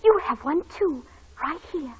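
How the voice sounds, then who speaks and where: High-pitched, imaginative little girl. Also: animated, unhurried. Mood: eager, playful. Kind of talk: 1940s radio acting. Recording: dull old radio broadcast.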